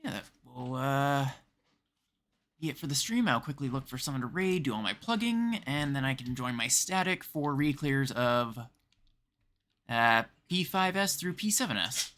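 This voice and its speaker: A man's voice: medium pitch, expressive, normal speed, fairly bright tone. A man from Canada chatting casually as he livestreams a game.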